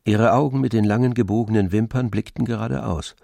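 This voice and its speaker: A man narrating a literary radio drama in a measured, precise storytelling manner. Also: lowish voice, fairly even intonation, vocal fry, mellow, no echo, studio quality. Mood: composed. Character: composed male literary narrator of a novel. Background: faint music with strings.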